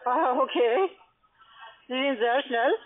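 Female call centre agent, halting phrasing, narrow phone-line sound. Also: sits high. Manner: halting, non-fluent phrasing. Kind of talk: phone instructions, halting speech.

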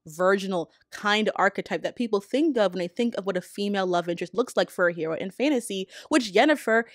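A woman's voice: medium pitch, lively intonation, fast talker, no echo, clean studio sound. A woman in her thirties giving informal, emphatic, opinionated commentary as a video essayist. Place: small room at home. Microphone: close desk microphone on arm. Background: background music.

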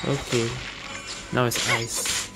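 A woman's voice: very deep voice, little pitch movement, takes it slowly, rough recording. A woman giving casual gameplay commentary, thinking aloud in short reactive phrases. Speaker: video game streamer. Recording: game music behind the voice.

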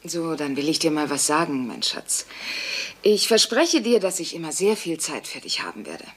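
A woman's voice: low-pitched, very animated, normal speed, husky, heavily acted. A woman playing a sarcastic but caring working-class mother in a dubbed sitcom, speaking dryly. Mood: tender. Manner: dry, sardonic. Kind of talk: German-dubbed sitcom dialogue.

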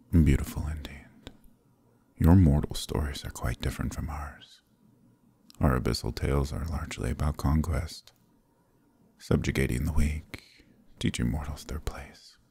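Very deep, gravelly and dark, this is a suave, possessive demon prince, played by a man in an audio roleplay. He murmurs close to the mic in a husky, purring near-whisper, commanding and indulgent at once. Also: exaggerated voice. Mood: wistful. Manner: hushed, purring, often whispering. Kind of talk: ASMR roleplay.

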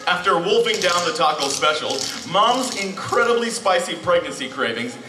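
A man's voice: sits high, lively intonation, moderate pace, background noise. A man playing a theatrical narrator in a live old-time radio show. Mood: storytelling. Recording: echoey hall, distant, music behind.

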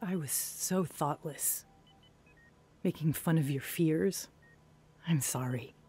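An actress playing a frightened, remorseful woman in a radio drama, husky and emotional, pleading as she speaks. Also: low voice, slow, warm tone, full character voice.

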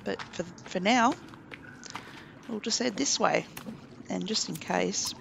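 Man, gravelly voice, chatty game commentary, hiss in the background. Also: high voice, slow. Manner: relaxed, chatty, thinking aloud while playing. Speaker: gaming commentator. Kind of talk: casual let's play commentary.

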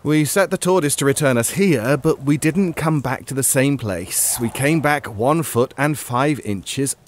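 An actor playing a knowing, slightly fussy time-travelling show host in a scripted comic audio drama. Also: male voice, medium pitch, measured pace, a little hiss or hum, lightly acted. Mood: knowing, ominous.

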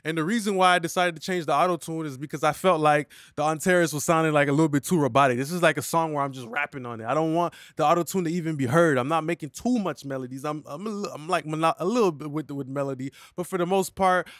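A young man teaching vocal mixing from his home studio, rambling and full of slang. His voice sits fairly high for a man. It is caught close and dry on a studio condenser mic. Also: fast talker, few pauses, fairly bright tone, dry recording. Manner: loose, slangy, rambling explanations. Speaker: mixing engineer and music teacher. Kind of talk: casual tutorial talk. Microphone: studio condenser microphone.

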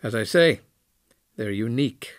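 A man playing a candid, reflective former station commander in a science fiction audio drama, speaking in a measured way. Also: mid-range voice, lively intonation, dry recording, studio quality, natural voice. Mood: wistful, candid.

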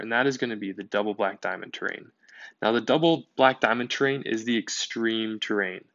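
Gravelly-voiced man explaining a lesson. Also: mid-range voice, dry recording, dull audio. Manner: steady explanatory, occasional "um". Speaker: teacher. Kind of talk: whiteboard lesson narration.

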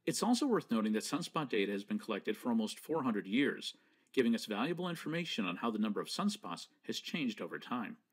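Man lecturing with a lively pitch. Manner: measured, explanatory and precise. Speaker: presenter. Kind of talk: scripted slideshow lecture.

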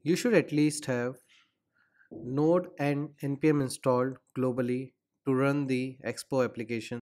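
A man's voice: mid-range voice, unhurried. A man hosting a screen-recorded coding tutorial, explaining steps and spelling out terminal commands. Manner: steady, instructional, spells out commands.

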